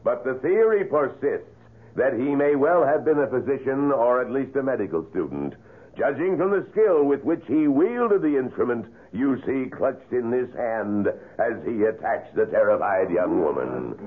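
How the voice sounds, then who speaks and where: Husky, theatrical tour guide. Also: male voice, mid-range voice, very animated, normal speed. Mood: dramatic showmanship. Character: theatrical museum tour guide, grave showman. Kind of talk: 1970s radio drama acting. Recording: thin, phone-like old radio recording.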